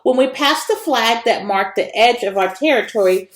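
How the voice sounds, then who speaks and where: A woman reads a children's story aloud in an expressive narrating style. Her pitch moves around a lot from phrase to phrase. The room around her adds a clear echo. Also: moderate pace. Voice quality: animated, varied intonation. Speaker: educator reading a children's book aloud. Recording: echoey room.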